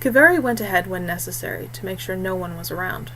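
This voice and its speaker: A woman's voice: on the low side, expressive, fast, a bit bright, a little hiss or hum, poor audio. A woman reading scripted fiction as an audiobook narrator.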